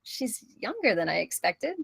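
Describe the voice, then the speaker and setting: A woman's voice: medium pitch, very animated, moderate pace, vocal fry, a bit bright, husky, no room sound, full character voice. A woman playing a curious, wry wife who is new to the scene, in a table read. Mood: curious, hesitant.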